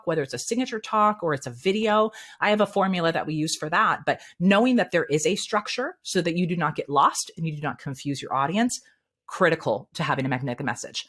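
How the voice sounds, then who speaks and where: A woman speaking coach, a guest on an interview show, giving candid and energetic advice. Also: lowish voice.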